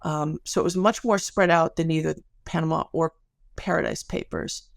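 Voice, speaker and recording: Creaky-voiced woman explaining research. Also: low voice, steady tone, moderate pace, dry recording, studio quality. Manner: thoughtful, careful, self-correcting. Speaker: podcast guest, academic researcher. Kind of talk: explanatory interview conversation.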